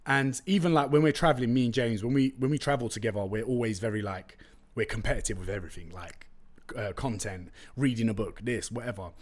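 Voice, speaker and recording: A young man with a London accent and Turkish as his first language hosts a podcast on his own. His voice sits at a middle pitch, close on a studio mic. He tells stories in a chatty, slangy, animated way. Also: fast talker. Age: young adult. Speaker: podcast host. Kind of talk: casual solo podcast chat. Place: room with brick walls, indoors. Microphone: close studio condenser mic with pop filter.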